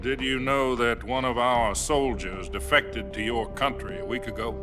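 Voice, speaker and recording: An actor playing an American president in a game cutscene, calm and placating. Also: male voice, mid-range voice, moderate pace, over music, lightly acted. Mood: explaining. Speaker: US president on a hotline call. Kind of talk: video game cutscene acting. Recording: game audio with faint score.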